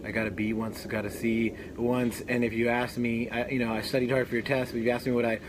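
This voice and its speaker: A man's voice: medium pitch, level tone, fast talker, noisy background. A young man hosting an interview outdoors, talking in a loose, chatty way with fillers. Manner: chatty, laughs along, fillers. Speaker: show host. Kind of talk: casual interview chat. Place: outdoors under a tree.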